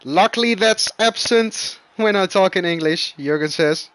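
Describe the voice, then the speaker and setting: A man whose first language is Dutch, commentating live on an online racing game with excitable bursts. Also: high voice, unhurried, dry recording. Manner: excitable, rising loud bursts at crashes. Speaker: esports race commentator.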